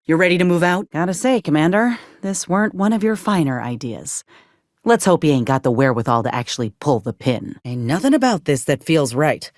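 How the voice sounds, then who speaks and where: A woman's voice: sits low, big pitch swings, fairly quick, a little mellow, no echo, studio quality. A woman voicing a plainspoken, wry frontier companion in a video game, with a folksy Southern American drawl. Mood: urgent, then grim, uneasy.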